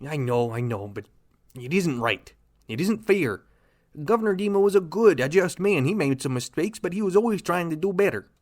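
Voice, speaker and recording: A man's voice: fairly high pitch, expressive, moderate pace, a little mellow, close to an everyday voice. A man playing a grief-stricken golden-age speedster in a radio drama, with a slightly raised voice. He talks emotionally and with passion. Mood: grieving. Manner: emotional, impassioned. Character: the Flash, grieving 1940s male speedster hero.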